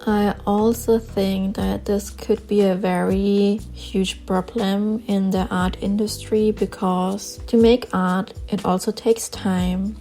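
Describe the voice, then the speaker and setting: A woman's voice: mid-range voice, level tone, slow, hardly any pauses, dark tone, faint music behind. A woman with a German accent, a non-native English speaker, giving her views earnestly while she draws for her channel, sometimes searching for words. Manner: earnest, plain-spoken, with small word searches and repeats. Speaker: artist vlogger. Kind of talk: casual talk over a drawing session.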